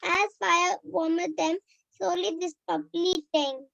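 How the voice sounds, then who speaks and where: Brief: young girl with an Indian English accent, very high voice with a gravelly edge, halting storybook reading. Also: steady tone, a bit bright, no echo, lo-fi sound. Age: child. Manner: slow, halting reading with restarts. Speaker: child storyteller. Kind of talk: reading a children's story aloud.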